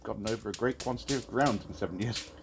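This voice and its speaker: A man playing a miserly old businessman in an audio drama, shaken yet dryly stubborn, halting and querulous. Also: lowish voice, lightly acted. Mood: uneasy, dry. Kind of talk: audio drama acting of Victorian literary dialogue. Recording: echoey room with clear background noise.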